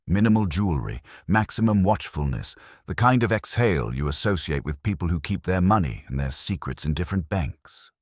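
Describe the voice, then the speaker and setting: A man reading a detective story in a wry, measured storytelling manner. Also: deep voice, animated, no room sound, studio quality. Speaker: audiobook narrator. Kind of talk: scripted detective story narration.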